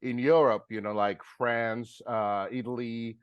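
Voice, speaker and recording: Man, middle-aged, halting earnest talk, dry close sound. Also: medium pitch, little pitch movement, smooth, no room sound, lo-fi sound. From Japan. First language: Japanese. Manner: halting, many fillers and restarts, earnest. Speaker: filmmaker guest. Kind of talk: interview conversation.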